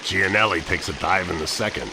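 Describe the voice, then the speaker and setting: A man's voice: low-pitched, brisk. An actor playing a dry, dismissive tough guy in a video game. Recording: noisy game cutscene with background ambience.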